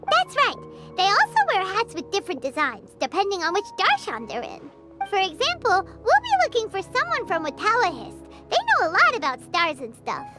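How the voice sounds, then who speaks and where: A woman voicing a tiny, cheeky, helpful fairy girl in an anime game, squeaky and childlike, speaking of herself in the third person. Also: huge pitch swings, moderate pace, loud music behind the voice, full character voice. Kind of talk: English anime game voice acting.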